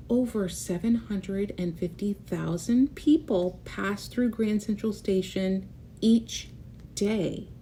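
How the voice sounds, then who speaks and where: Female children's read-aloud host: very lively pitch, soft-edged mellow tone, expressive storytelling with chatty asides, slight rumbling noise. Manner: expressive, explains and points things out for children.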